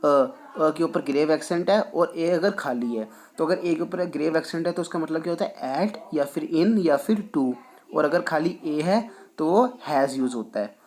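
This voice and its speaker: A man teaching a French lesson, patiently repeating each point and reading rules slowly. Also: fairly high pitch, expressive, darkish tone. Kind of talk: explaining a language lesson, mixing in English.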